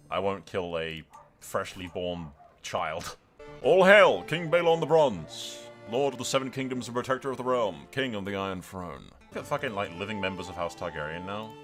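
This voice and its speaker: A man's voice: mid-range voice, sharp tone. A man giving casual game roleplay commentary, slipping into dramatic narration with a jokey, sweary, theatrical manner. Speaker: gaming video creator.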